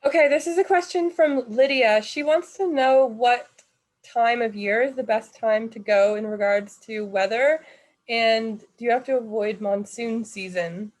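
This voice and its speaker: Woman moderator, slightly high voice, brisk and upbeat, reads viewer questions. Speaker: webinar moderator. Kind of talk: reading out audience questions.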